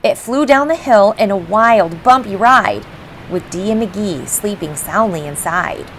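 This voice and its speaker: A woman reading a rhyming picture book aloud for children in an expressive, measured storytelling style. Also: medium pitch, slight background noise, rough recording. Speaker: storybook reader. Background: faint background music.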